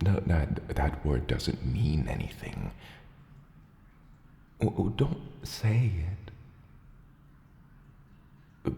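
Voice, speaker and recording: A man voicing a gentle, fatherly mountain dragon in a soft ASMR roleplay, patient and measured, with formal wording and hesitant asides. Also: full character voice. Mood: flustered. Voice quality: gravelly, very deep. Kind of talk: ASMR roleplay, soft slow scripted monologue. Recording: roomy long cave-like reverb, faint noise.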